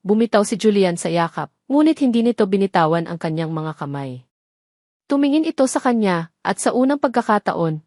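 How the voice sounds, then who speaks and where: A woman narrates a romance audio drama in a medium-pitched voice. She tells it in short, measured, sentimental phrases. The sound is very dry and close. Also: a little mellow, no echo.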